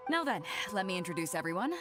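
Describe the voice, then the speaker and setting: A woman's voice: on the low side, very animated, quick pace, fairly bright tone. A woman voicing a bold, confident sea captain in a video game, assertive and breezy with a teasing edge. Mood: assured. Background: game music.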